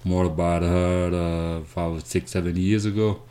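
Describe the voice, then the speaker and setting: Deep-voiced, halting South Sudanese man. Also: fairly even intonation, dark tone. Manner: halting, with frequent hesitations and repeated words. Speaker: interview guest, foundation founder. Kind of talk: conversational storytelling.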